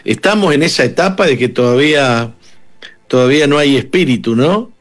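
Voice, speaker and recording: A man's voice: mid-range voice, expressive, normal speed, soft background music. A man hosting a radio interview with a Uruguayan Spanish accent, asking long, wandering questions. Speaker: radio host.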